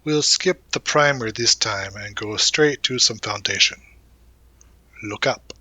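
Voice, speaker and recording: A man acting as a young college guy, protective and gently teasing. His voice is bright and crisp-edged, recorded close and dry. He speaks casually, in a reassuring, intimate roleplay style, with thoughtful pauses and little murmured asides. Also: medium pitch, no echo. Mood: reassuring, encouraging. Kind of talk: intimate audio roleplay, one-sided conversation.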